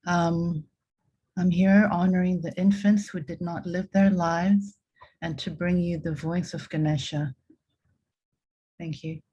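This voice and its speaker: A woman from Thailand introducing herself as a ceremony presenter, softly and unhurried. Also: fairly low pitch, steady tone, slightly rough. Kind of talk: gentle self-introduction.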